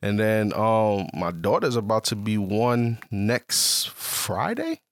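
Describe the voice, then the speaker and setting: A man's voice: lowish voice, big pitch swings, takes it slowly, no room sound. A man in his late twenties co-hosting a podcast, talking casually and loosely with lots of ums and slang. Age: 20s. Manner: laid-back slangy talk with many ums. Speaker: podcast co-host. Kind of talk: casual chat. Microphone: studio mic.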